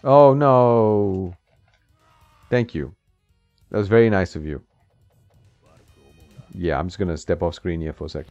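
Low-voiced man chatting over a retro game. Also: a little slow, long pauses, darkish tone, dry recording. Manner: chatty, laughs at the game, talks to the screen. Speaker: gaming channel host. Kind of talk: casual gameplay commentary. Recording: slightly dull, dry sound with game music behind.

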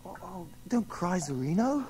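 A man's voice: high voice, big pitch swings, normal speed, a little mellow. An actor playing an earnest, optimistic young male adventurer in a radio drama, eager and reassuring. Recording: faintly noisy radio drama soundscape.

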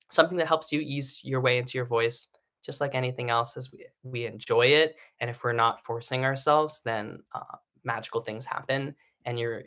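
A man's voice: medium pitch, bright, forceful tone. A man in his thirties giving a singing lesson from his living room in a live stream. He talks in a chatty, encouraging way, full of fillers and restarts. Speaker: singing teacher. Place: home living room, indoors.

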